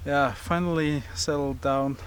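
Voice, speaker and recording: A Norwegian man speaks English with a Norwegian accent, slowly and calmly, pausing often and filling gaps with "uh" as he chats to the camera. He is outdoors at a lakeside camp, with faint ambient noise around him. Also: a little high. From Norway. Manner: relaxed, hesitant, many fillers. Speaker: outdoor vlogger. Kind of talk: casual solo vlog, talking to camera.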